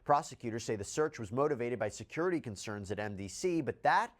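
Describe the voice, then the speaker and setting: A man's voice: medium pitch, expressive, fast talker. A man presenting scripted legal news in an articulate, explanatory way, with emphatic delivery. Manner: articulate, emphatic when quoting documents.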